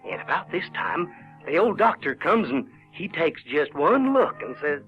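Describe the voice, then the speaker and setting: A man's voice: fairly high pitch, very animated, moderate pace. A man playing a folksy rural Southern boy who tells his adventures in an audio drama. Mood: reflective, folksy. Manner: folksy, colloquial. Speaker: narrator in character. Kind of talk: in-character storytelling, audio theater. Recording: dull 1970s recording with music.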